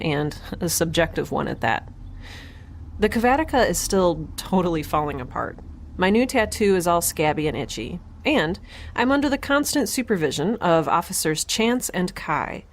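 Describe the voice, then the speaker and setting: A woman playing a blunt, curious young woman in space, with a wry, rambling, analytical way of talking, in a sci-fi audio drama. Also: fairly low pitch. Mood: giddy, wry.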